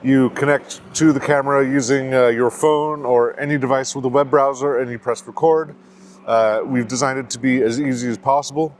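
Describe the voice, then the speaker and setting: A man from the United States, a company founder, explaining a product in a steady technical interview with frequent filler sounds. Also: mid-range voice, a little hiss or hum.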